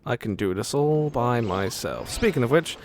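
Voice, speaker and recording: Low-voiced woman, sarcastic gamer. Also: animated, moderate pace, darkish tone, a little hiss or hum. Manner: sarcastic, jokey asides to game characters. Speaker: gaming video host. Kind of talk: casual gameplay commentary. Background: game music.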